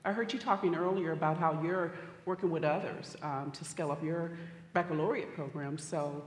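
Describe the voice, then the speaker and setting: A woman's voice: sits low, fairly even intonation, moderate pace. A woman answering on a conference panel in a thoughtful, hesitant way. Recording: roomy hall.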